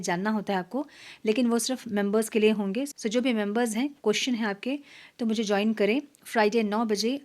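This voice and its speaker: A slightly hoarse woman talks her viewers through a tarot reading in a relaxed, chatty way. Her voice sits in a middle range and sometimes sinks to a soft near-whisper. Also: medium pitch. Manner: soft, mixing Hindi and English, drops to near-whispers while shuffling. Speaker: tarot reader. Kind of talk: casual tarot reading monologue.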